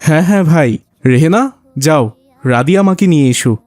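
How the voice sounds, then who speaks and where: A man's voice: a little high, expressive, fairly quick, a little mellow, lightly acted. A man playing a mild, hospitable father in a cartoon drama, courteous and agreeable. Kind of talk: cartoon dialogue.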